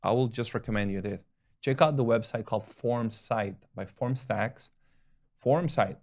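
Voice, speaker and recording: Doctor guy, spanish is his first language, kinda rambly and chuckly, low gravelly voice. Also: a little mellow, dry recording, clean studio sound. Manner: loose and rambling, with frequent restarts and chuckles. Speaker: family physician. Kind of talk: casual talk to camera, answering questions.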